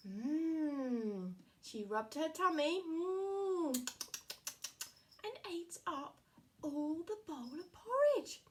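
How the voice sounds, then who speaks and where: A woman narrating a children's fairy tale with a sing-song lilt. Her voice is high and lively, rising and falling on nearly every phrase. She tells the tale with warm, animated storytelling for young listeners. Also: very slow, slight background noise.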